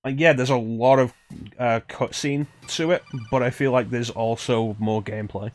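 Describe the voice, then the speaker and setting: A man's voice: mid-range voice, fairly even intonation, moderate pace. A man streaming a video game with casual live commentary, talking in rambling, conversational asides.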